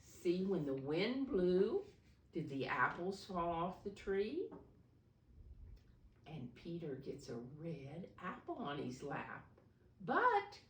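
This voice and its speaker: A woman reading a picture book aloud to small children, pausing to ask simple questions and explain. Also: fairly low pitch. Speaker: preschool storybook reader, teacher figure. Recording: roomy echo, distant mic.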